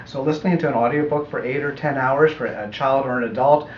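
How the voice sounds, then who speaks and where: A middle-aged American man explaining his audiobook work in a measured, thoughtful way during an interview. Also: mid-range voice, steady tone. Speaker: audiobook producer being interviewed. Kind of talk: conversational interview answers. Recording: echoey room, muffled, faint noise.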